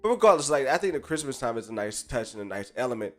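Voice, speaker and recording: Man, stuffy nose, under the weather, hyped slangy live-stream host, mid-pitched voice, faint jazz behind. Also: sing-song, fast. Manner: hyped, slangy, greets chatters by name. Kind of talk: casual live-stream chat. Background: faint live jazz music.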